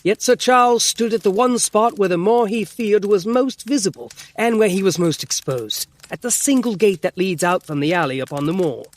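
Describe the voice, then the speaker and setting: An actor playing an earnest, excitable gentleman doctor in an audio drama, formal and emphatic as he tells his tale. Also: high voice, moderate pace, few pauses. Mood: troubled, insistent. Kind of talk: full-cast audio drama acting.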